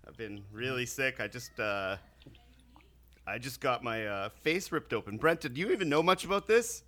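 A man with a bright, sharp voice chats on a podcast, quick to rant bluntly with sardonic, deadpan humor. He is recovering from sinus surgery. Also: mid-range voice. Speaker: podcast co-host. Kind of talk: casual podcast chat.

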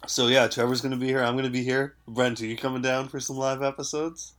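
A man from Canada co-hosting a casual podcast, chatty and quick to laugh, his voice stuffed up from a cold. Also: mid-range voice, flat delivery, a bit bright. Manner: chatty, laughs often, rambling with fillers. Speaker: podcast host.

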